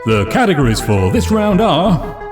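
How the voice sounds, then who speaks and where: A man playing a formal quiz show announcer who reads out categories and questions in a measured way. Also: on the high side, big pitch swings. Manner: measured, deliberate. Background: music.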